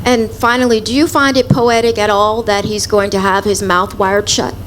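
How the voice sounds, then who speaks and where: A woman's voice: mid-range voice, little pitch movement, moderate pace, background noise. A female reporter asking short, direct questions at a press conference. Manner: direct, concise.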